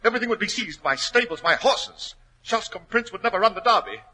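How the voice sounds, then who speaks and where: An actor playing a desperate, hot-tempered aristocrat in a 1940s radio melodrama, impassioned and pleading, with a slightly rough, forceful voice. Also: male voice, high-pitched, lively intonation, a little fast, exaggerated voice. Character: desperate, hot-tempered aristocrat racehorse owner, cornered and guilty. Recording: old 1940s radio recording.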